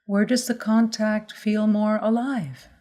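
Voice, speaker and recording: A woman leading a guided body scan meditation as a mindfulness guide, giving gentle instructions in measured phrases with pauses. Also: mid-range voice, little pitch movement.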